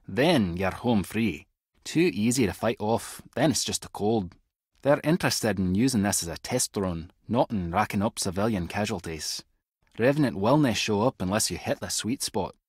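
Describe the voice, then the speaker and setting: A clever Scottish intelligence agent in an adventure game. His husky voice sits fairly low and swings freely in pitch. He is wry and tech-savvy, recorded close and dry. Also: expressive, moderate pace, darkish tone, dry recording. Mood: wry, explanatory. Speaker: video game voice actor. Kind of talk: scripted adventure game dialogue.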